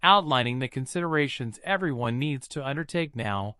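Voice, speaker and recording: Guy reading a passage out loud, really clean smooth voice, dry close sound. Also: mid-range voice, expressive, brisk, no room sound. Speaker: exam practice reader. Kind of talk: scripted read-aloud narration.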